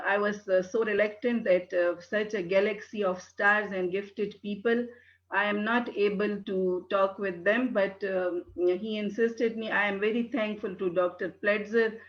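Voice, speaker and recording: A woman's voice: mid-range voice, fairly bright tone. A woman from Pakistan, English not her first language, giving a hesitant webinar talk full of fillers. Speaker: guest speaker. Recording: dull lo-fi webinar audio.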